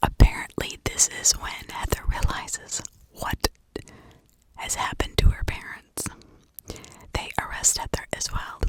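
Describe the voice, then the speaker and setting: An American woman whispering an ASMR true crime story in a hushed, informal, conversational way. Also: unhurried, poor audio.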